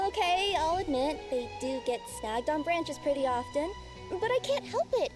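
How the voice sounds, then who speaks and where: A young game courier with a very high, sweet voice. She is polite, modest and a little hesitant, easily flustered. Music runs behind her. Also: sing-song, unhurried. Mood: sheepish. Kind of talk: video game voice acting.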